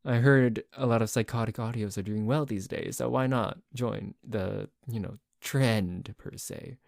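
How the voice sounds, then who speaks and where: A man's voice: on the low side, unhurried, gravelly, a little mellow, no echo. Casual male voice actor, dry close recording. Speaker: voice actor, channel host. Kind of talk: casual outro chat to listeners.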